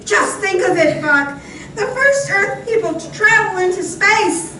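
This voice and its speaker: A woman playing an excitable space-adventure heroine in an old-time radio serial, with urgent melodrama. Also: very high-pitched, a little slow, full character voice. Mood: eager. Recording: echoey hall, distant mic, faint noise.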